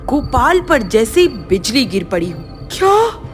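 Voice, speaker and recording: High-voiced woman narrating a dramatic story. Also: animated, measured pace, a bit bright, faint music behind.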